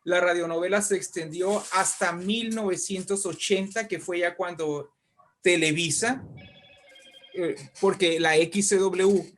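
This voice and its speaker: A middle-aged man with a northern Mexican accent speaks as a guest in an interview. His voice sits a little high for a man. He tells things in an animated, discursive way and often checks that the listener follows. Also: a little slow, a little hiss or hum. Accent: northern Mexican Spanish. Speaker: guest writer and poet. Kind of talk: conversational interview storytelling.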